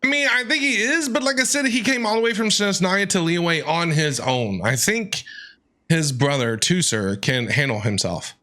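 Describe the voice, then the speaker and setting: A man's voice: high-pitched, animated, moderate pace, pushed and bright. A man giving casual, playful reaction commentary as he streams a game, responding aloud to the story. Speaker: gaming streamer. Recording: game music behind.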